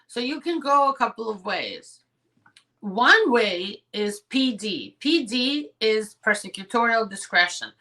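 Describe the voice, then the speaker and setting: Hoarse bright-voiced woman lawyer talking fast. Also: a little high, poor audio. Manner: quick, conversational, addresses viewers directly with "right?" and "okay?". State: losing her voice. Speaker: immigration lawyer. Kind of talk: explanatory video talk to viewers. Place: home office, indoors.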